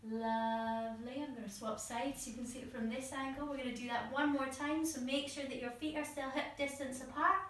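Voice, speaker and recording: A woman's voice: high voice, steady tone, a little fast, few pauses, fairly bright tone, slight background noise. Female workshop instructor, encouraging guided instruction, distant from the mic with heavy room echo. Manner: encouraging, step-by-step, demonstrates as she talks.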